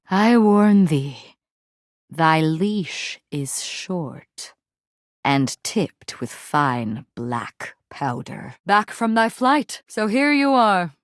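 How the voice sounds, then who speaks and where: Grandiose, archaic-tongued warrior general. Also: female voice, fairly high pitch, fairly bright tone, dry recording, clean studio sound, exaggerated voice. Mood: furious, then proud, formal. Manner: grandiose archaic Shakespearean speech, formal and theatrical. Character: proud, melodramatic warrior general of a devoted troop. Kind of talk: theatrical video game character acting.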